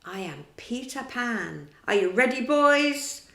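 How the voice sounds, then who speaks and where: A woman's voice: a little high, lively intonation, slow pace, gravelly, fairly bright tone, echoey room, full character voice. A woman reading a storybook aloud voices a bold, cocky boy hero with a commanding delivery. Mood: rallying.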